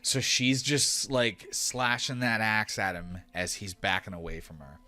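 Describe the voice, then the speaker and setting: A man running a tabletop game as game master, narrating off the cuff in a casual, animated way. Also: lowish voice, a little slow, soft background music.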